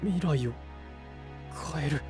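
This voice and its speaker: A man playing a sincere, soft-spoken young knight in an anime-style voice drama, earnest and full of self-doubt. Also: on the high side, slow, over music. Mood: resolute.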